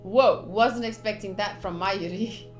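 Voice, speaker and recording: A woman's voice: mid-range voice, measured pace, faint music behind. A young woman doing playthrough commentary, reading game text aloud expressively with chatty asides. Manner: expressive, chatty asides between read narration. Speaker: game streamer.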